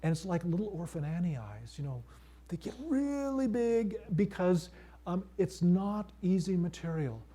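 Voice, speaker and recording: Dark-voiced priest giving a lecture. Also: male voice, sits high, big pitch swings. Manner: measured, with frequent fillers and restarts. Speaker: priest and seminary teacher. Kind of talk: conference lecture.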